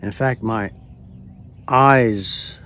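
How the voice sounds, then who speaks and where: A man in his late seventies telling a personal story in a hesitant way, with many pauses, and often dropping to a near-whisper. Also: fairly low pitch, fairly bright tone, a little hiss or hum. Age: late 70s. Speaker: storyteller recounting a personal experience. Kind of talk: unhurried spoken personal narration.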